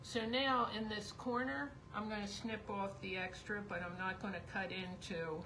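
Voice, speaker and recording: A woman teaching sewing live, chatty and rambling, thinking aloud as she works, with her voice going hoarse. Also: medium pitch, fairly even intonation, normal speed. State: losing her voice. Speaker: sewing instructor. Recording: noisy background.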